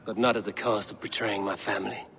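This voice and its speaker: An actor playing a stoic, loyal Viking warrior, terse, guarded and earnest in a dramatic game cutscene. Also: male voice, on the low side, level tone, fast, sharp tone, slight background noise.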